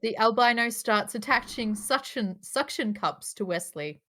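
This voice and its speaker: A woman's voice: fairly high pitch, expressive, measured pace, bright, forceful tone. A woman reading stage directions as narrator at a live table read, in a matter-of-fact manner.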